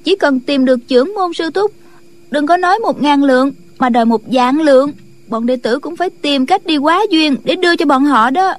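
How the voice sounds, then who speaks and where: A woman's voice: high-pitched, normal speed, pitched above the performer's own voice, close to an everyday voice. A woman voicing an earnest, respectful young female disciple in a martial arts audiobook, girlish and deferential. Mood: eager.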